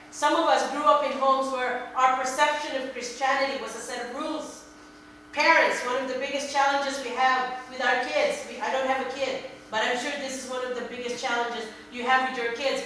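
Woman, sermon, animated, slightly distant mic, faint hum. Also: high voice. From Philippines. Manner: animated, gesturing, repeats words when emphasizing. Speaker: preacher. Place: church sanctuary, indoors. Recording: very echoey hall, a bit distant, faint hum.

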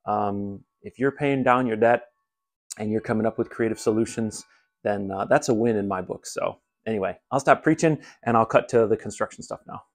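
A middle-aged man giving a relaxed, rambling walkthrough of his home garage setup, filmed on a handheld phone, with many fillers. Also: fairly low pitch, normal speed. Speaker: home gym vlogger. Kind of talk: casual how-to walkthrough. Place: home garage, then attic. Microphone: handheld phone camera.

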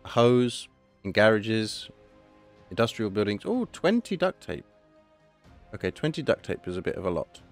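A man with a hoarse voice, casually talking through a game update and thinking aloud as he plays. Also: fairly low pitch, very animated, normal speed, long pauses, faint music behind. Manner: chatty, thinking aloud, self-correcting. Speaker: gaming channel host. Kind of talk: casual gameplay commentary.

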